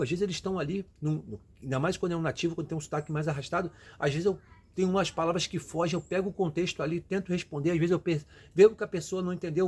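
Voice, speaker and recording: A man's voice: a little high, a little mellow, slightly rough. A Brazilian man giving emphatic, motivational advice directly to his viewers in a casual way. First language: Portuguese. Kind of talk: motivational casual talk to camera.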